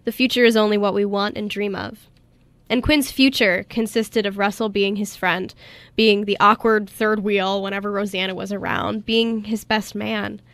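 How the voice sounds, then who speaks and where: A woman's voice: medium pitch, steady tone, normal speed, a bit bright, no room sound. A woman on a radio show, reading story narration and chatting casually with the others.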